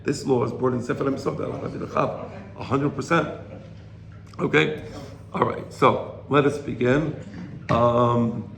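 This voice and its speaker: A slightly hoarse man giving an informal religious lecture to a study group, explaining and checking in with rhetorical questions. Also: mid-range voice, steady tone, normal speed, a bit bright. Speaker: Torah teacher. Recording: roomy echo, faint background noise.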